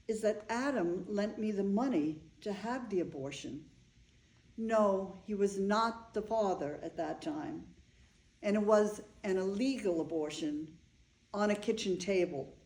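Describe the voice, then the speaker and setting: A woman's voice: medium pitch, dark tone. An older woman playing a wry, frank, wistful older bride in a staged play reading. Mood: wistful, confessional. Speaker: actor. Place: echoey room, live staged reading. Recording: echoey room recording.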